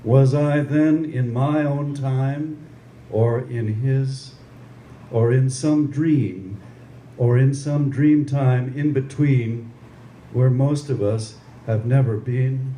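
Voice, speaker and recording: An older man reading his poetry aloud and introducing it informally, with a measured, deliberate delivery. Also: mid-range voice, steady tone, warm tone. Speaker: amateur poet. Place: live reading in a room. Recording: roomy, echoing live room with faint noise.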